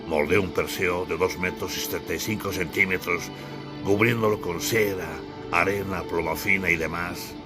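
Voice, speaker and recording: Low-voiced man narrating an audiobook over music. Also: fairly even intonation, normal speed. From Bolivia. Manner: theatrical, solemn storytelling. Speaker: author and narrator.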